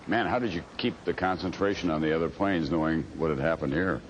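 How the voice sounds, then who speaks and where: A man with an extremely deep voice asks interview questions at a quick clip. He sounds conversational, on a muffled recording with faint noise.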